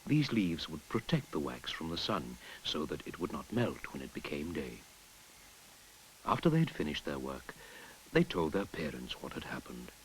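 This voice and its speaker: A man narrating a folktale in an expressive storytelling manner, sometimes dropping to a whisper. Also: rumbling low, normal speed, a little hiss or hum, poor audio. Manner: expressive storytelling, drops to a whisper at hushed moments. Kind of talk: storybook narration over music. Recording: narration with clear music behind.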